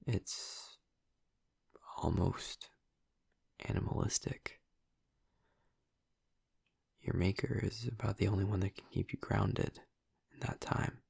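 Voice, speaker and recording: A man playing a gentle, earnest vampire boyfriend in an intimate audio roleplay, speaking softly and haltingly in a husky, breathy, hushed voice. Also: lowish voice, fairly even intonation, no echo, full character voice. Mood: reflective, subdued. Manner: halting, soft-spoken, confiding with long pauses. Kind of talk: intimate audio roleplay, ASMR-like soft delivery.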